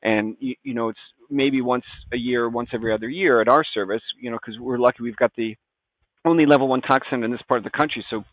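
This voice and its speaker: Male podcast guest, American, informal stop-start expert talk, mid-pitched, slightly lo-fi. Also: steady tone, fast talker, no room sound. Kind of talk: casual expert discussion.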